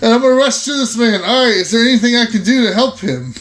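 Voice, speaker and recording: A man's voice: very high-pitched, fast talker, fairly bright tone. A man playing a tabletop roleplaying game, casually saying what his character does, reacting readily and swearing mildly. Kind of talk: casual first-person roleplay chat.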